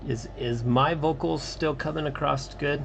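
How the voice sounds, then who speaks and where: A man's voice: on the high side, creaky, a little hiss or hum. A man hosting a casual livestream while drawing comics, chatting with co-hosts who can't be heard. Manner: relaxed, talks to unheard co-hosts, halting.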